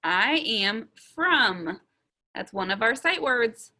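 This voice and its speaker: A young woman teacher recording a writing lesson for small children, sounding out each word slowly and stretching the letter sounds. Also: on the high side, expressive, pushed and bright.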